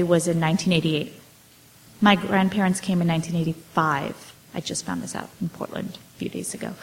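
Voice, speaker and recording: Woman author, forties, slightly low voice, halting, many ums and restarts. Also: poor audio. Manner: hesitant, many fillers and restarts. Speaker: author answering audience questions. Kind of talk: casual storytelling talk.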